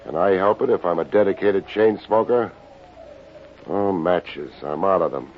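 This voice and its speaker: An actor playing a cocky, hardboiled private detective in an old-time radio drama, narrating and bantering in wry slang. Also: male voice, low-pitched, a little slow, a little hiss or hum. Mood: nonchalant. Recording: muffled old radio recording with music.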